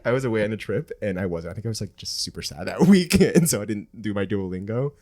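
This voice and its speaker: A man's voice: lowish voice, expressive, quick pace, a little mellow. A young man, a native Spanish speaker, co-hosting a podcast in casual chat, teasing and laughing often. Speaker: podcast co-host.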